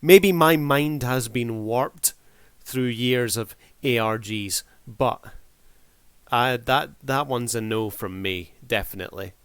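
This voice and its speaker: A man's voice: medium pitch, slow pace, fairly bright tone, smooth, no room sound. A man giving casual review commentary as a host, addressing his audience like a showman.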